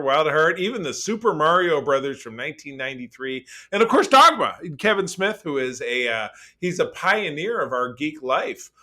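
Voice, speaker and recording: Male podcast host, forceful bright voice, emphatic and opinionated, rambles conversationally. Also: a little high. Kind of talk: casual conversational podcast talk.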